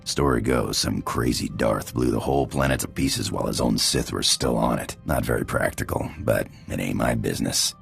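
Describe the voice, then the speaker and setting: A roguish space pirate from a video game, with an extremely deep, gravelly voice. He is laid-back and wry, and talks over faint music. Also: fairly even intonation, normal speed, few pauses. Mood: wry, matter-of-fact. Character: easygoing, roguish male space pirate companion.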